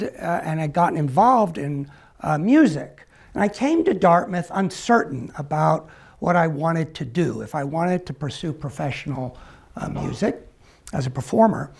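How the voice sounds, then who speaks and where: Male, 60s, American. Raspy with heavy fry. Academic speaker giving reflective personal testimony, pausing and searching for phrasing. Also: on the high side. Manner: halting, reflective delivery with pauses.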